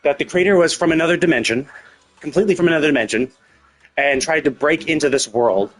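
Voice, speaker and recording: A man's voice: fairly high pitch, fast, soft background music. A man livestreaming a game, thinking aloud in a casual, rambling, hesitant way with some swearing. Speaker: live game streamer.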